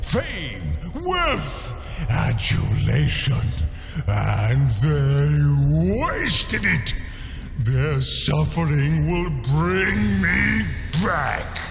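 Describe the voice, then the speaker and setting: A very slow, grandiose villain. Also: male voice, on the high side, very animated, creaky, exaggerated voice. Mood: vengeful ranting. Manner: pompous, theatrical, deliberate. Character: grandiose male villain, vengeful, scornfully amused schemer. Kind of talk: video game villain acting. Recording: long hall reverb, loud game music. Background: game music.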